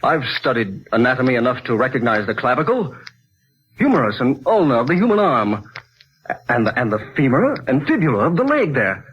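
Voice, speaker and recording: A man's voice: mid-range voice, big pitch swings, brisk, fairly bright tone, slightly rough. An actor playing an earnest, educated amateur investigator in a 1940s radio drama, precise and grave in his delivery. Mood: grave, insistent. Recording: muffled, noisy 1940s radio recording.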